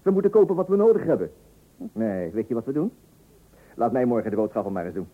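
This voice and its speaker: A man's voice: mid-range voice, big pitch swings, fast. An actor playing a penny-pinching, self-important father in a comic radio play, blustering and stammering when flustered. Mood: indignant. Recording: old tinny radio recording.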